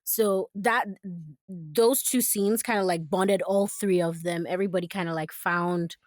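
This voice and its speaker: A woman's voice: fairly low pitch, steady tone, measured pace, no room sound, studio quality. A woman voice actor talking casually and reflectively as an interview guest, in a thoughtful, conversational manner.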